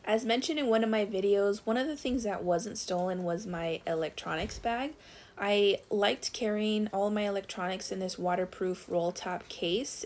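A woman narrating a casual review of her thru-hiking gear, relaxed, with frequent fillers as she recommends items. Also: mid-range voice, slight background noise.

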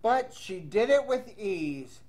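A man reads a picture book aloud for children at an unhurried pace. His pitch moves up and down freely in a playful sing-song. Between lines he chats casually with his young listeners. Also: lively intonation, a little mellow. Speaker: children's storybook reader. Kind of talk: animated read-aloud to children with casual asides.

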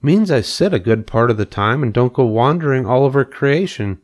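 A man playing a calm, wise spider lady. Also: medium pitch, pitched above the performer's own voice, lightly acted. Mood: thoughtful. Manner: measured, reassuring, matter-of-fact. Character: calm wise female spider, cool and kind. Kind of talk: storybook reading.

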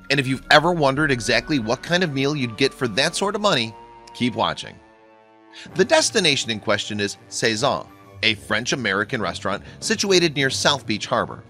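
A man narrating a scripted online video in a lively, bouncy read, recorded as a studio voice-over. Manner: lively, bouncy read with wide pitch swings. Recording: faint music bed behind the voice.

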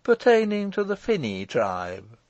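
A man voicing a brash, teasing, self-assured lawyer in an audiobook, with a forceful, jaunty, mock-pompous delivery. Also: high voice, huge pitch swings, unhurried, dry recording, dull audio, voice pushed up, full character voice. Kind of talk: audiobook character voice.

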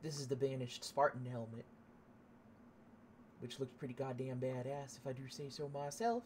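A woman's voice: very deep voice, moderate pace, mellow, a little hiss or hum. A young woman from Canada chatting casually and swearing while streaming a shooter game, laughing now and then. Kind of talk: casual gameplay commentary.

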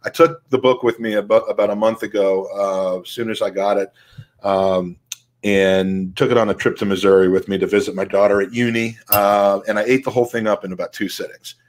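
A man's voice: lowish voice, fairly even intonation, fairly quick. An American man in his forties hosting a casual interview over a video call in a rambling, informal way. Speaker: podcast host. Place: room at home, indoors.

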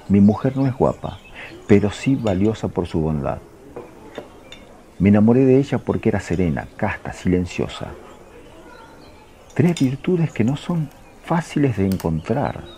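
A man's voice: lowish voice, warm tone, a little hiss or hum. An actor playing a plain-spoken middle-aged fisherman, folksy, chatty and fond, in a religious radio drama.

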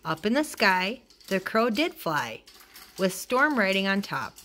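A woman reading a children's picture book aloud in an expressive way, acting out the dialogue. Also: medium pitch, measured pace. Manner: expressive, acts out character dialogue. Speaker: storybook reader.

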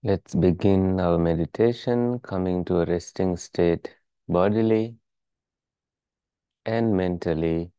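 Very slow, low, mellow meditation guide. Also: clear, resonant tone, no room sound. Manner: calm, measured, soothing instruction. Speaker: meditation teacher.

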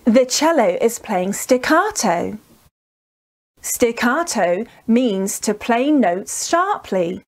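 A woman's voice: a little high, very animated, slow. A woman in her thirties or forties presenting scripted storytelling for children, enunciating carefully and encouraging listeners.

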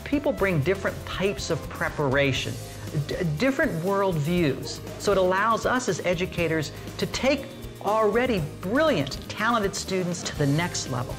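A woman's voice: mid-range voice, very animated, moderate pace, over music. A woman educator explaining thoughtfully in a documentary interview.

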